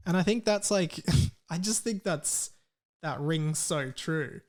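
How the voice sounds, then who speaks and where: Higher-voiced Australian man chatting on a podcast. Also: slow. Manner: rambling, opinionated, sweary. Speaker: podcast host. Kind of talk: casual solo podcast chat.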